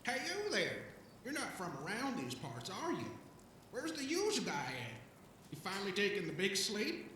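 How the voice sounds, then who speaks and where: High-pitched, wildly swooping old vagrant. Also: male voice, wildly swooping pitch, moderate pace, pushed and bright, very echoey, distant mic, a little hiss or hum, heavily acted. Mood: excited, nosy. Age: older. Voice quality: rough, coarse voice. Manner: folksy, rambling, stammering, talks of himself in third person. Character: shabby, rambling, excitable centuries-old alien vagrant. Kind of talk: audio drama character acting.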